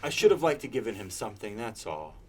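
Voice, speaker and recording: A man reading aloud and voicing an old miser who is excited and tearful, caught between laughing and crying. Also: on the low side, quick pace, slight background noise. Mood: rueful.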